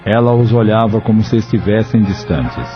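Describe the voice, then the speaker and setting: A man narrating an audio drama in a measured, even storytelling delivery. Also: fairly low pitch. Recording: dull lo-fi audio with soft music.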